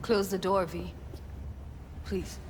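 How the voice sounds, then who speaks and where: A woman's voice: medium pitch, level tone, slow pace, a little hiss or hum, lightly acted. A woman acting a blunt, streetwise, hotheaded and grieving young woman in a naturalistic video game performance. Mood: weary, grieving.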